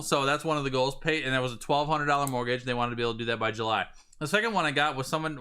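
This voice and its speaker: A man, slightly raspy today, coaching viewers on a live stream in an energetic way, often restarting his phrases. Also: medium pitch. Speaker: business coach.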